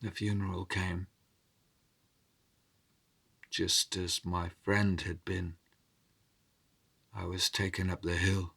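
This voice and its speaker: A man narrating as an oral storyteller, theatrical and deliberate, in short measured phrases. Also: deep voice, level tone, gravelly, fairly bright tone, slightly rough. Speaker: storyteller, podcast host.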